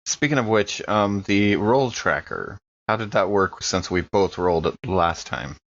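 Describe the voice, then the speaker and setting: A man's voice: low voice, unhurried, fairly bright tone. A man casually narrating his character's actions in a tabletop roleplaying game, piecing them together hesitantly. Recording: slightly dull, lo-fi sound.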